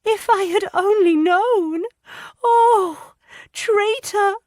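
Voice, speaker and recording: A woman voicing an anxious, tearful young wife in an audiobook, pleading and stammering in a high, breathless voice. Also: slow pace, darkish tone, dry recording, clean studio sound. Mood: anguished. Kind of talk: audiobook character voice.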